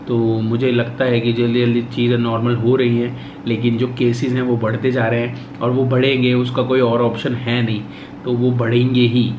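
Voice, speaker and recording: A man's voice: mid-range voice, highs cut off, background noise. A young man from India talking casually to the camera in a small bedroom, a travel vlogger who repeats his points and explains as he goes. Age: young adult. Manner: chatty, repeats his points, explains while packing gear. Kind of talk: casual vlog talk to camera. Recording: echoey room with music behind.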